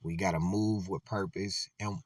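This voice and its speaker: A man giving casual, slangy commentary on dating, animated and blunt, sometimes dropping into a deep, resonant voice. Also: unhurried, no room sound, clean studio sound, distant mic. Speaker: commentator reacting to a clip.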